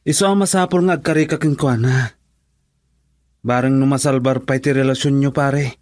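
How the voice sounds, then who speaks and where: A man's voice: mid-range voice, steady tone, moderate pace, darkish tone, no room sound, studio quality, close to an everyday voice. A man playing a male friend who urgently advises his buddy, in Ilocano-accented radio drama dialogue. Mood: insistent.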